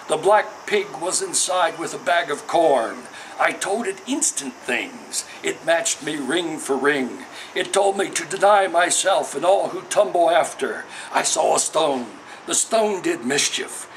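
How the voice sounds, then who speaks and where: A man with a fairly high voice reads poetry aloud. He delivers it in a measured, declamatory way, like a formal literary recital. There is some faint background noise in the recording. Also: fairly bright tone. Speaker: poet reading own work. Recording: noisy ambience behind the voice.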